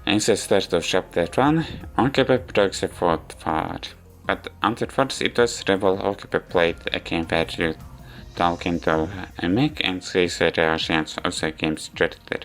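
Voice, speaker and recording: A man giving a rambling, halting spoken review of a visual novel in non-native English. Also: sits low, a bit bright. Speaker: video game reviewer. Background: background music.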